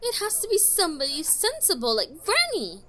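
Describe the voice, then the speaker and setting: Woman playing a high, stubborn, animated adventurer. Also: fairly quick, heavily acted. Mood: indignant. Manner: emphatic, indignant. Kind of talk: fantasy audio drama acting.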